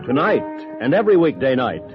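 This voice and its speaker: A man's voice: mid-range voice, very animated, brisk, music in the background. An American man announcing a mid-century radio show in scripted broadcast style. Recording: dull vintage radio broadcast.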